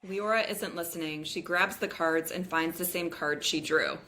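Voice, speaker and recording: A woman with a slightly low voice serves as narrator at a table read. She reads out stage directions as scripted narration. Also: normal speed, sharp tone, low-quality recording.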